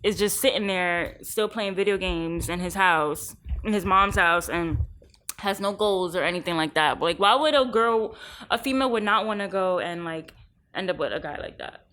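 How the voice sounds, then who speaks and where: A woman's voice: on the low side, moderate pace, fairly bright tone. A young woman with a New York accent, a guest on a podcast, talking frankly in slangy casual chat and laughing often. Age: young adult.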